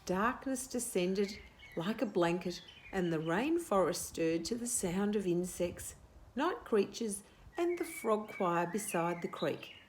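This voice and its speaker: Slow, very expressive woman storyteller. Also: mid-range voice, a little hiss or hum. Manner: explains words simply, asks questions. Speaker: children's storyteller. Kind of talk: animated picture-book read-aloud with asides to young children.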